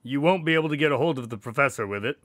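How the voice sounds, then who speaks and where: An actor playing a proper, doubting doctor and detective's sidekick in a radio drama, politely formal and dry in manner. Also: male voice, medium pitch, racing, fairly bright tone, dry recording, lightly acted. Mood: skeptical, reluctant. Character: skeptical, proper male doctor, detective's long-suffering sidekick.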